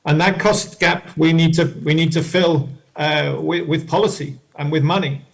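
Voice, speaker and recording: A man with a fairly high voice gives an analytical answer on a panel. He is measured and explanatory, reworking his phrases as he thinks through the points. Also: steady tone, vocal fry. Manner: measured, explanatory, rephrases as he goes. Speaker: expert panelist.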